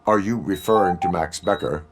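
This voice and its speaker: Deep stoic robot cop, clipped formal deadpan, game dialogue, heavy noise n beeping behind. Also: male voice, moderate pace. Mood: stern. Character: stoic, dutiful male cyborg police officer. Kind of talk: video game voice acting.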